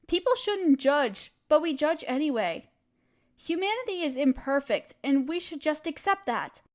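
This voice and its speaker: A woman speaks in a notably high voice, addressing the listener directly as a supportive friend would. Her delivery is a scripted comfort monologue, full of reassurance and encouragement. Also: big pitch swings, a little slow.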